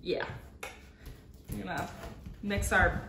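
A woman's voice: medium pitch, steady tone, takes it slowly, vocal fry. Dry-joking young woman in an echoey kitchen. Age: young adult. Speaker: cooking vlog co-host. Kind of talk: casual chat while cooking. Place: large home kitchen. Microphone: camera across the room. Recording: echoey kitchen, distant mic, loud noise.

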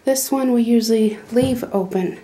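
A woman's voice: a little high, moderate pace, dark tone, slight background noise. A middle-aged woman, giving a rambling, homespun tour of her small wooden goat barn with lots of fillers and restarts. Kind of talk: casual walkthrough narration. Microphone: handheld phone camera.

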